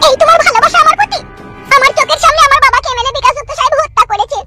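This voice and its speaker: A woman's voice: very high-pitched, racing, over loud music. A woman voicing a cunning young wife in a cartoon comedy, coaxing and wheedling as she sets her terms. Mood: scheming. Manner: coaxing, wheedling, then commanding. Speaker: cartoon character. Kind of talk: Bengali cartoon comedy dubbing.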